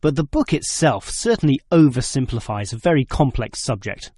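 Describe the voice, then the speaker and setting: A man acting a critical, precise university student in a scripted exam dialogue, speaking deliberately. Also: mid-range voice, animated, dark tone, no room sound, natural voice. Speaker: student in a listening test dialogue.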